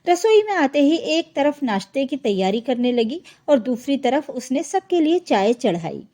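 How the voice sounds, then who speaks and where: A woman's voice: high voice, big pitch swings, unhurried, mellow. A woman narrating a scripted family drama story as a storyteller.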